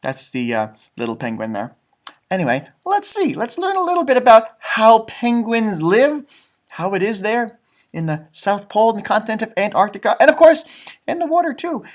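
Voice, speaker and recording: A man reading a storybook aloud to children, chatting in exaggerated teacherly asides and repeating phrases for young listeners. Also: high-pitched.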